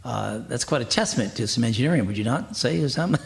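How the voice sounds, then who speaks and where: A man hosting an interview, chatty and quick to laugh, asking his guests leading questions. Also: medium pitch, animated, creaky. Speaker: radio interview host. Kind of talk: conversational interview.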